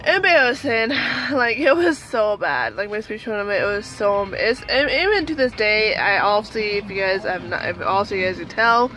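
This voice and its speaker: A woman's voice: mid-range voice, animated, moderate pace, hardly any pauses, sharp tone, rough recording. Young woman with a speech impediment. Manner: rambling, lots of fillers and restarts. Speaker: vlogger. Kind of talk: casual storytelling to camera.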